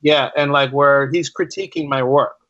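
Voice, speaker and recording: Male podcast guest, lightly high voice, conversational, doubles back on phrases, muffled lo-fi sound. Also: fairly even intonation, moderate pace, fairly bright tone, no echo. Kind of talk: casual interview chat.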